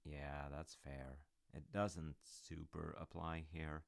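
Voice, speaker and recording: A man playing a supportive, rambling dad in a roleplay audio, talking gently and hesitantly to his grown child in a gravelly voice. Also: very deep voice, flat delivery, slow pace, full character voice. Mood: reassuring. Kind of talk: roleplay audio, one-sided conversation.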